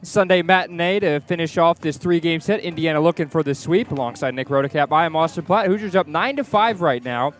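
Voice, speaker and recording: Male sports announcer, slightly high-pitched voice, live baseball radio commentary, outdoor stadium. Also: brisk, hardly any pauses, soft background music.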